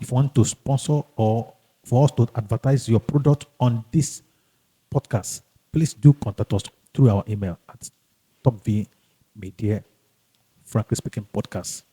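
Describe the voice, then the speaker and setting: A man hosting a podcast in casual, halting and emphatic speech, often repeating himself. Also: mid-range voice, mellow, breathy edge, rough recording. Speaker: podcast host. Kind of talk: casual podcast introduction and interview chat.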